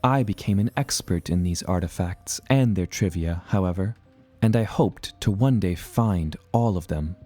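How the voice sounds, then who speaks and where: A deep, mellow adventurer recounting his journal. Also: soft background music. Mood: tender, wistful. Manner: measured, literary storytelling. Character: adventurous young explorer hero recounting his journal, earnest and reflective. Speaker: narrating character. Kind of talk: scripted first-person audio drama narration.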